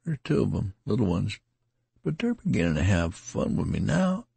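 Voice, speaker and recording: Male reader doing a tattered, wounded rustic soldier: raised, rasping voice, rambling folksy speech, audiobook. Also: on the low side, sing-song, normal speed, dark tone, no room sound, voice pushed up, full character voice. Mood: rambling, worried. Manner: rambling folksy dialect, slurring as he weakens.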